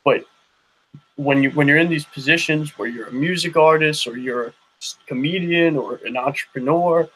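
A man's voice: a little high, normal speed, fairly bright tone, a little hiss or hum, low-quality recording. A young man hosting a podcast interview, talking loosely with many restarts and "you know what I mean". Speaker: podcast host. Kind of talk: casual interview chat.

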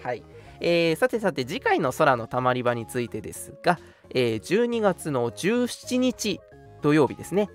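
A man's voice: a little high, sing-song, normal speed. A young man co-hosting a live radio talk show, mixing casual, jokey banter with polite announcements. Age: young adult. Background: background music.

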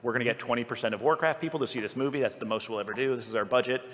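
A man's voice: mid-range voice, very fast, a bit bright. A man on a live panel, arguing his points casually in an analytical, explanatory way. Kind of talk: casual argumentative panel discussion. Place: convention hall, live stage panel. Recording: live panel in a reverberant hall.

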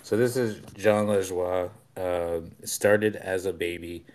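A man's voice: deep voice, steady tone, slow pace, a little mellow, a little hiss or hum. A man co-hosting a casual chat show, laid-back, answering with short joking reactions and introducing tracks. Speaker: podcast co-host.

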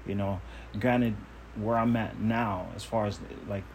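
Low-voiced man, earnest podcast monologue. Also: fairly even intonation, a little slow, darkish tone, slight background noise, poor audio. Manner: earnest, self-assured, emphatic. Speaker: podcast host.

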